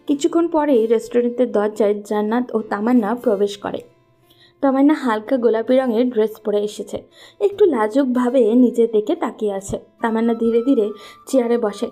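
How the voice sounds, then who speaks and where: A woman's voice: fairly high pitch, mellow. A woman narrating a scripted story as its storyteller.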